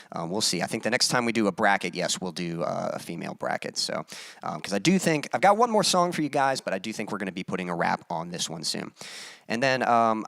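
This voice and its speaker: A man hosting his own music live stream, chatting casually with viewers and reading their comments aloud, with many pauses and fillers. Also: on the low side, vocal fry, no room sound. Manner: relaxed, halting, many fillers, reads chat aloud.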